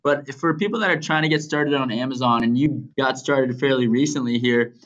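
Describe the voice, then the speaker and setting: A man's voice: mid-range voice, fairly quick, fairly bright tone, highs cut off. Enthusiastic male podcast host. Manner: enthusiastic, with many "you know" fillers. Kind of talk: casual interview hosting.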